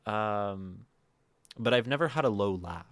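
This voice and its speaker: A man with a naturally low voice, hosting a livestream and musing softly and aimlessly with many fillers. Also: animated, measured pace. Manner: soft, meandering, lots of fillers; prefers talking quietly and a little higher. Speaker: livestream host. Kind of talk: casual livestream chat.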